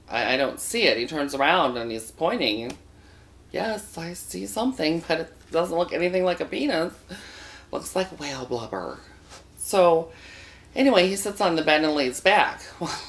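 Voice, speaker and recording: A man's voice: high voice, fairly bright tone. A man hosting a comic storytelling show from a studio, telling a bawdy tale in a campy, sassy way and addressing viewers as boys and girls.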